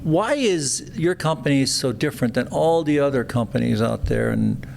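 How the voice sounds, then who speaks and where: A man's voice: medium pitch, expressive, moderate pace, creaky, darkish tone, a little hiss or hum. A middle-aged man, a plastic surgeon hosting his podcast, chatty and enthusiastic with frequent restarts. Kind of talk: podcast interview.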